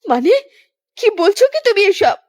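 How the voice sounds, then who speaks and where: A woman voices a long-suffering young woman from a cartoon melodrama. Her voice is extremely high and girlish. She speaks plaintively and earnestly, as someone betrayed in love. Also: sing-song, moderate pace, a little mellow. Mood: tearful, accusing. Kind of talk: animated melodrama acting.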